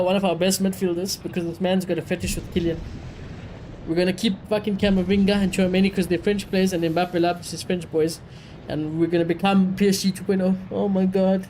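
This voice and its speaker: A man chatting casually on a livestream, reacting and ranting in an animated, blunt, sweary way. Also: high-pitched, a little hiss or hum, poor audio. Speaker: live streamer.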